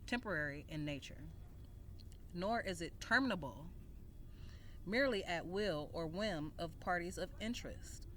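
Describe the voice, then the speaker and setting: A woman reads a written text aloud in a deliberate, emphatic way, with casual asides. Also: fairly low pitch, lively intonation, a little hiss or hum. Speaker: video creator reading an essay aloud.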